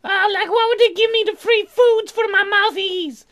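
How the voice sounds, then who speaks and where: Squeaky, whiny, dim young woman. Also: steady tone, normal speed, a bit bright. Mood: dim protesting. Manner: childish mangled slangy grammar, whining. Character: whiny, dim, food-obsessed young female colonist neighbor. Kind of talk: game character voiced in a let's play.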